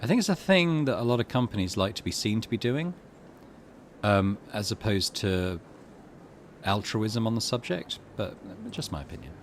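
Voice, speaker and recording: A man narrates and comments on a story game as a channel host. His voice sits on the low side but moves in a very animated way. Musing asides break up the reading, over faint background ambience. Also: normal speed, a little hiss or hum. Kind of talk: let's play commentary and reading game text aloud.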